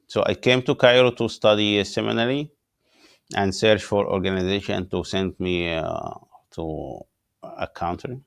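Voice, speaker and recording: Gravelly-voiced Egyptian pastor, halting English. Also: male voice, low voice. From Upper Egypt. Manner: halting non-native English with many "uh" pauses. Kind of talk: interview guest telling his story.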